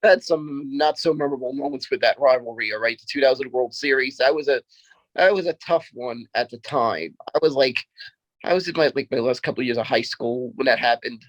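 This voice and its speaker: A man's voice: medium pitch, brisk, dry recording, low-quality recording. A man in his thirties co-hosting a sports podcast, talking casually and often repeating himself as he makes a point. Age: 30s.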